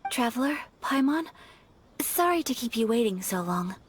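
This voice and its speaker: A woman playing a gentle, earnest young noblewoman in a video game, speaking politely and formally in a soft, measured way. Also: fairly high pitch, big pitch swings, a little hiss or hum. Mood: earnest, composed. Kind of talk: English game voice acting.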